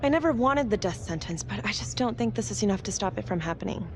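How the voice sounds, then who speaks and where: An actress playing a guarded, determined prosecutor in a crime thriller game, speaking in a dry, measured way. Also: female voice, medium pitch, lively intonation, noisy background, close to an everyday voice. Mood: resolute, measured. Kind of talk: naturalistic dramatic game acting. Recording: background music under the voice.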